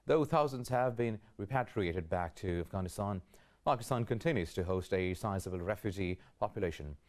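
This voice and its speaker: A man anchoring a news bulletin, reading headlines in a steady, formal way. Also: on the low side.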